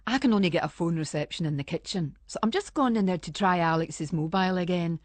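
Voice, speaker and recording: A woman's voice: on the low side, quick pace, no room sound, close to an everyday voice. A woman playing an anxious Scottish wife in a radio monologue, talking in colloquial Scots as if to a friend. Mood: chatty. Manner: colloquial, conversational, addressing an unseen friend. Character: anxious, chatty Scottish wife fretting over missing husband. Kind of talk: dramatic monologue in Scots dialect, radio story reading.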